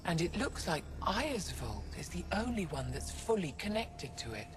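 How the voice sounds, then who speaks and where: A woman's voice: lowish voice, big pitch swings, normal speed, background noise. A woman playing a grave, knowledgeable middle-aged alien professor in a video game. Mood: grave, urgent.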